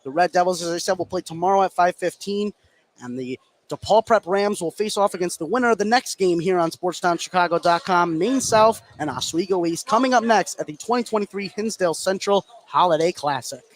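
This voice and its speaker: A man's voice: high voice, fairly quick. An American man giving live play-by-play radio commentary on a basketball game. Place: indoor high school gym during a game. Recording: clear background gym noise.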